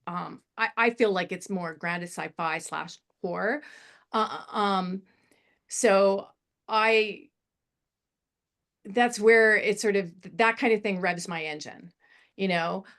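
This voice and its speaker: Woman, middle-aged, medium pitch. Script feedback panellist: encouraging, animated, digressive, conversational. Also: slow, long pauses. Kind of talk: casual spoken feedback on a screenplay.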